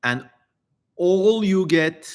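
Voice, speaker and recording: Man, livestream host, slightly high pitch, very slow pace, casual reading and commentary, wry playful delivery. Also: lively intonation.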